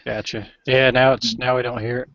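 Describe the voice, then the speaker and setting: A man hosting a casual online voice chat, talking in a relaxed, agreeable way. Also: medium pitch, fairly quick, no echo. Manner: relaxed, agreeable, laid-back. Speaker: chat host. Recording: dry dull lo-fi voice chat audio.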